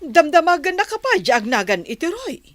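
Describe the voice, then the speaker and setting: A woman's voice: very high-pitched, very animated, fairly quick, no room sound. A woman with an Ilocano accent playing a caring but scolding village mother in a radio drama.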